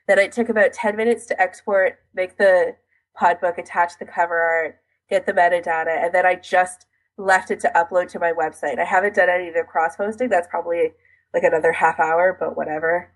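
A woman's voice: on the low side, steady tone, fairly quick, rough recording. A woman co-hosting a podcast in casual chat, recounting things in detail with a self-deprecating streak. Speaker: podcast co-host.

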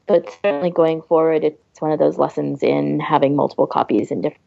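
A woman's voice: sits low, steady tone, fast talker, gravelly, dry recording. A woman co-hosting a podcast in casual chat, on a dry, slightly dull recording. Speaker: podcast co-host.

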